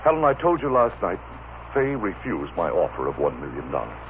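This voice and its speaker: A man's voice: sits low, huge pitch swings, a little fast, heavily acted. Impassioned radio-soap leading man, muffled. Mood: urgent, frustrated. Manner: impassioned, melodramatic, commanding. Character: ardent, protective, masterful gentleman lover. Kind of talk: old-time radio soap opera acting. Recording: muffled, noisy vintage radio recording.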